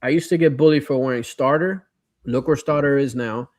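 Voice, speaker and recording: A man's voice: mid-range voice, a little fast, a little mellow, no room sound. American man hosting a casual chat, dry sound. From Miami, United States. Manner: slangy, conversational. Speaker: livestream host reading viewer comments.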